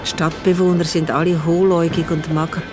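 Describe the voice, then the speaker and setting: A woman with a Swiss accent narrates a children's audio drama. Her voice is on the low side, and loud music plays behind her scripted storytelling. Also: level tone, brisk.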